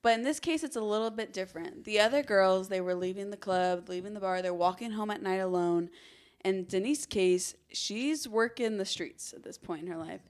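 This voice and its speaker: A woman's voice: medium pitch, moderate pace. A woman hosting a true crime podcast, telling the story dramatically with casual conversational asides.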